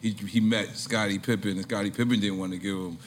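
A man telling a story in an animated, laughing way. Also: lowish voice, fast talker, reverberant, slight background noise. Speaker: guest.

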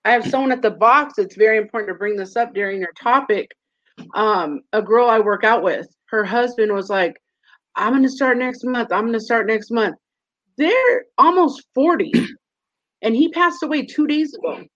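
A woman's voice: a little high, normal speed, fairly bright tone. Earnest woman in her forties, muffled. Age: 40s. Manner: earnest, shares personal stories. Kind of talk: casual live stream chat.

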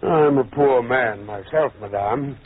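An actor in an old-time radio drama playing a shrewd, cautious older judge with a dry, probing manner and husky voice, on a tinny recording. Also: male voice, mid-range voice, slow pace, lightly acted.

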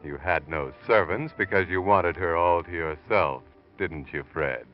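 An actor playing an ominous, knowing narrator who taunts in a sardonic, accusing way, in 1940s radio suspense style. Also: male voice, low voice, measured pace. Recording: muffled vintage radio recording. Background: music.

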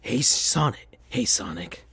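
A reader voicing a smug male teen rival hedgehog, speaking low, quiet and mocking. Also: lively intonation, slow, creaky, slightly rough, no room sound, heavily acted.

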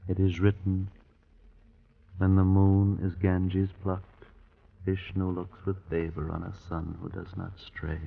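A man's voice: low-pitched, monotone, takes it slowly, creaky, slightly rough, exaggerated voice. A man playing a solemn, repentant young son in old radio drama style. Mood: hushed, solemn. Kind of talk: 1950s radio drama acting. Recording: muffled, phone-like vintage radio broadcast.

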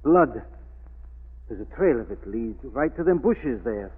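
An actor playing a superstitious, fearful Scottish estate worker in a vintage radio drama, grave and foreboding. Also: male voice, mid-range voice, big pitch swings, unhurried, breathy edge, heavily acted. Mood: uneasy. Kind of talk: 1940s radio drama acting. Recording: tinny old radio recording.

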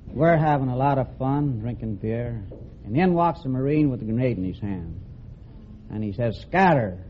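An American man speaks in a folksy, plain way as a serviceman on a quiz show. His pitch is average for a man. The vintage radio recording is muffled, with a faint layer of noise. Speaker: quiz contestant, Navy Seabee serviceman. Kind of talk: anecdote telling on a quiz show. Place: stage before a live studio audience.

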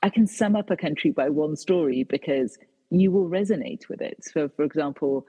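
A woman's voice: low voice, moderate pace, warm tone, breathy edge, long reverb tail, muffled recording. A British woman, an author and guest, answering interview questions with many fillers and restarts. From Britain.

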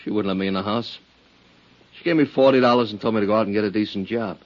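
Man acting aggrieved ex-convict swindler, low voice, wheedling and insistent, halting, muffled old radio drama sound. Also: close to an everyday voice. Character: bitter, wheedling male ex-convict swindler, middle-aged. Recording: muffled 1950s radio recording.

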